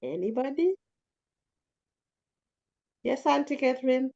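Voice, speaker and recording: A talkative woman with a low, commanding voice, leading a casual group discussion and speaking reflectively. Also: expressive, slow, creaky, dark tone, lo-fi sound. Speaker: group facilitator.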